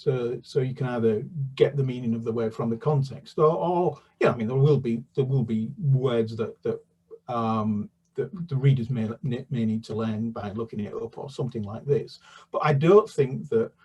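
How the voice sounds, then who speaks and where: A man's voice: mid-range voice, warm tone, husky, far from the mic, low-quality recording. A man, a children's author, answering interview questions in a hesitant, rambling, conversational way.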